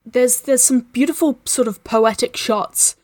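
Woman guest on a film podcast, highish voice, super dry recording, chatty with opinions. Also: a little slow, a little mellow, no echo. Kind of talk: casual film discussion.

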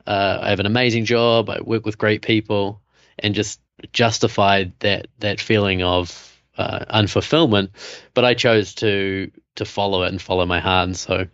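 A man in his thirties with a New Zealand accent, telling his story as a podcast guest in a relaxed way with many fillers. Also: lowish voice, moderate pace, no room sound, muffled recording. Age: 30s. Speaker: guest, coach. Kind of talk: casual interview chat.

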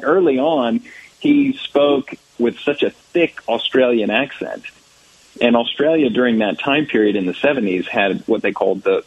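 A man's voice: medium pitch, husky, dry recording, muffled recording. An American man in his thirties, a church ministry director answering interview questions thoughtfully, with many fillers and restarts. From United States.

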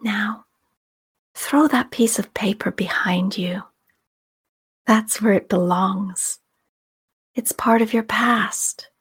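A woman leading a guided meditation in a soft, often whispery voice, calm and soothing, with long unhurried pauses. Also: medium pitch, breathy edge. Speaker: meditation guide and podcast host. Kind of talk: guided meditation, slow scripted narration.

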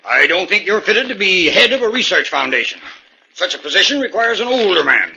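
An actor playing a stubborn, suspicious older professor in 1940s radio drama, with a brusque, commanding way of talking. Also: male voice, sits high, very animated, a little fast, creaky, sharp tone, slightly rough, full character voice. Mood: stern. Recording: dull 1940s radio recording.

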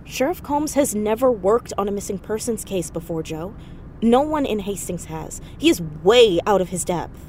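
A woman playing an anxious, earnest high school girl in an audio drama, hesitant but insistent as she talks. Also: lively intonation, moderate pace, darkish tone, slight background noise, lightly acted. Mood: tense. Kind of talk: naturalistic audio drama acting.

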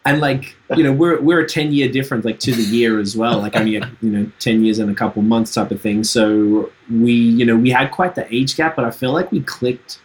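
A man's voice: medium pitch, fairly quick, hardly any pauses, poor audio. Laid-back Trinidadian man hosting. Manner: laid-back, swears freely, laughs often. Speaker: podcast host and musician. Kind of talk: casual podcast chat.

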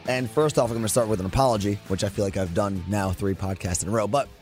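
A man hosting a fitness podcast in a casual, rambling, upbeat way, his voice a little hoarse. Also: fairly low pitch, quick pace, darkish tone, faint music behind. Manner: rambling, upbeat, self-deprecating. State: losing his voice, a bit hoarse. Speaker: podcast host.

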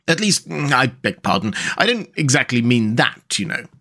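A man's voice: mid-range voice, sing-song, normal speed, pushed and bright, exaggerated voice. A man voicing a breezy, gossipy otter from a storybook, blustery and chummy in an old-fashioned way.

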